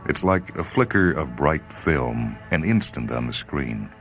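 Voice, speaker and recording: A man with an extremely deep voice reads fiction in a grave, dramatic style. His deliberate phrasing rises and falls expressively, with music playing behind him. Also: expressive, fairly bright tone. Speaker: short story reader on a radio series. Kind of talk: dramatic scripted literary narration.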